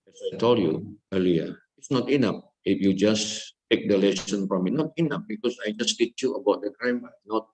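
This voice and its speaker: Slow-speaking Indonesian-accented male lecturer. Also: lowish voice, a little mellow, rough recording. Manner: slow deliberate speech with frequent "okay?" checks; repetitive, emphatic. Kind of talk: classroom lecture.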